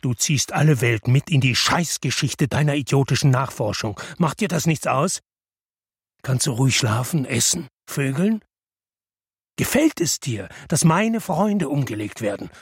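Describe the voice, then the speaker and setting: Husky tired detective, brooding narrator, dry booth. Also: male voice, animated, unhurried, no room sound, heavily acted. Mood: angry. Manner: low, brooding narration, harsh and angry on the phone. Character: weary middle-aged male detective narrator. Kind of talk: radio drama narration and dialogue.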